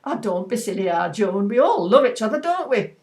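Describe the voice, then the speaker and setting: A woman voicing a falsely cheerful, belittling older mother with a Yorkshire accent and a loud, sing-song brightness. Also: mid-range voice, sing-song, fairly quick. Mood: falsely cheery.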